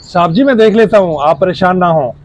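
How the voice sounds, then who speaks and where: A man playing a crooked, glib motorbike mechanic and shop boss in an acted moral-story skit, smooth-talking and bossy. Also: high voice, a little fast, highs cut off, faint music behind, natural voice. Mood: glib, reassuring.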